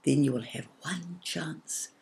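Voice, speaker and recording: A woman voicing a gentle, solemn little cloud in a children's story, speaking softly and carefully, at times in a whisper. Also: low-pitched, vocal fry, slightly rough, full character voice. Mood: hushed, solemn. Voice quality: soft, hushed, partly whispered. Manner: slow, careful, earnest.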